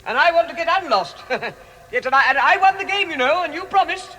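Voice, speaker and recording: A man playing a fussy, nervous, polite little gentleman in a vintage radio drama, stammering. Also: extremely high pitch, lively intonation, a little fast, exaggerated voice. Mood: alarmed, pleading. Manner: flustered, stammering. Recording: very noisy vintage radio recording.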